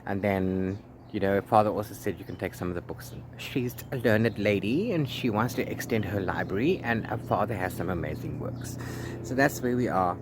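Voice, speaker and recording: A man talking casually as a book vlogger, rambling in a halting way with repeated words and restarts. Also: fairly low pitch, animated. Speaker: book vlogger, read-along co-host. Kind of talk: casual rambling video diary about a book. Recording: clear background noise, ambient sound.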